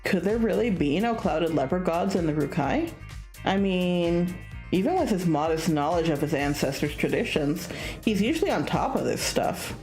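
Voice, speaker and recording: A woman streamer reading a visual novel aloud in a casual, expressive narrating style. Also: fairly low pitch, normal speed, faint music behind, low-quality recording.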